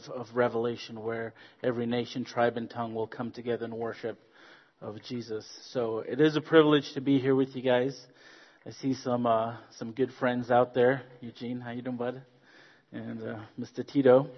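A man from Zambia, a guest preacher giving a friendly sermon with stories, joking and pausing on fillers. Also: mid-range voice, highs cut off. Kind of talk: sermon with storytelling.

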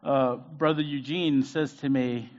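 A middle-aged man preaches in a casual, conversational way, pausing on fillers and restarting his sentences. His voice sits at a middle pitch for a man. The church recording is muffled and lo-fi. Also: fairly even intonation. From Zambia. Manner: hesitant, many fillers and restarts. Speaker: guest preacher. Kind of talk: conversational sermon. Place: church sanctuary.

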